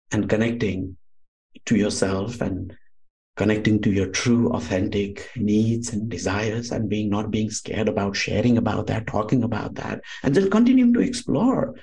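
A man's voice: mid-range voice, a little mellow. A man with a Nepali accent speaks in a mid-range voice as a podcast guest. He tells his story conversationally, wandering from thought to thought with frequent filler phrases. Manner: rambling, peppered with "you know". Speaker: podcast guest, Ayurvedic doctor.

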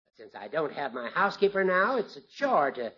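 An actor playing a fussy, proud old small-town judge in a 1950s radio comedy, with a prim and genteel manner. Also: male voice, a little high, big pitch swings, fairly quick, sharp tone. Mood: proud, polite. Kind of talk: 1950s radio sitcom acting. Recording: muffled, noisy 1950s radio recording.

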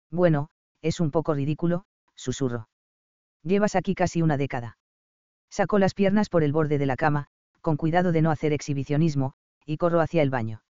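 Mellow man narrating an audiobook. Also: fairly high pitch, clean tone, no room sound. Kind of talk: first-person romance audiobook narration. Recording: slightly dull dry audio.

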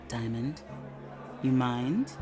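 A middle-aged police captain speaks low, with music clearly behind her. She is brisk, wry and motherly, and used to getting her way. The delivery is natural audio drama dialogue with a folksy, persuasive push. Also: dark tone, heavily acted.

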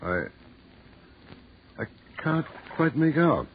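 A man acting a genteel, hesitant English gentleman in a 1940s radio horror play. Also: on the high side, very animated, takes it slowly. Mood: uneasy. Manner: polite, hesitant, stammering. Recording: tinny, noisy 1940s radio recording.

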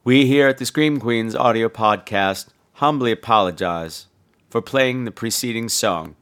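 A man's voice: mid-range voice, a little slow, a bit bright. A man hosting a podcast in casual chat, with a campy, theatrical and cheeky delivery. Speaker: podcast host.

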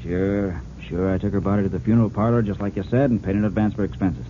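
An actor playing a greedy small-time crook in an old-time radio drama, insinuating and pushy. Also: male voice, on the low side, fairly even intonation, fast talker, mellow, lightly acted. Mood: pleased. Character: greedy small-time crook, sly man pressing for money. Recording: muffled narrow-band vintage radio recording, hiss.

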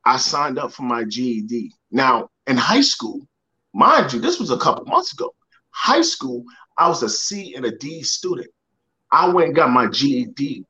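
Animated storytelling man, lo-fi sound. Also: medium pitch, moderate pace. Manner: animated storyteller, repeats words, acts out quoted voices. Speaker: guest, motivational speaker. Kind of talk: storytelling in a podcast interview.

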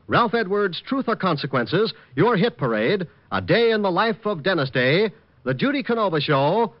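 High-pitched, animated male radio announcer. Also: no echo. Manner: polished, promotional delivery. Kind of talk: 1940s radio announcing, scripted. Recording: dull old radio recording.

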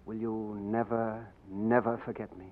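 An actor playing a suave, gallant romantic hero in a 1940s radio drama. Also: male voice, on the low side, little pitch movement, measured pace, natural voice. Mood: tender. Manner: suave, tender, heroic. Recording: dull lo-fi 1940s radio broadcast.

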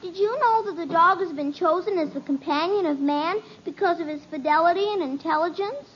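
An actress playing an earnest little daughter who recites facts primly in a 1950s radio comedy. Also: female voice, very high voice, a little fast, slight background noise. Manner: prim, earnest, fact-reciting. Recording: dull old radio transcription.

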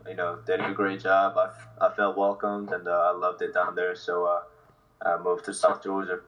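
Distant-sounding young man, lowish voice. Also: completely flat delivery, normal speed, fairly bright tone, lo-fi sound. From South Korea, then New Jersey from sixth grade. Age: 20s. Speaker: interview guest, football kicker. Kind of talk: casual interview answers. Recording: voice distant from the mic.

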